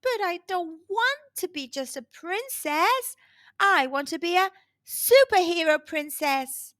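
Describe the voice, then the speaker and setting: A woman's voice: very high-pitched, expressive, slow, a bit bright, dry recording, pitched above the performer's own voice, exaggerated voice. A woman voicing a young, defiant, emphatic princess girl who is eager to be a hero in a children's storybook. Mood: defiant pleading.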